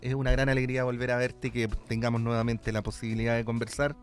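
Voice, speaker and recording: A man's voice: medium pitch, completely flat delivery, fast, soft background music. A man leads a radio interview from the studio. He speaks in a hesitant way, stringing his phrases together with frequent filler sounds while he frames his questions.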